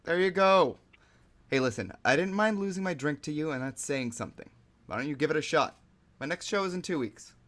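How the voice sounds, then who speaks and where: A brash, easygoing stage magician played by a man in a drama table read. His voice is bright and punchy, and he talks in a teasing, persuasive, conversational way. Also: a little high, animated, a little fast. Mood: breezy, persuasive. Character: cocky, easygoing stage magician and show producer.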